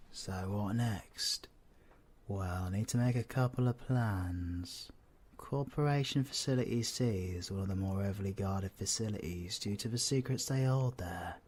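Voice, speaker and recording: Man acting a husky, cocky British supervillain. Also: medium pitch, natural voice. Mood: exasperated, scheming. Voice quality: husky, breathy. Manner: sardonic, slangy, swearing, often dropping to breathy whispers. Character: cocky, menacing, flirtatious male supervillain antihero. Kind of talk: scripted audio roleplay, ASMR drama.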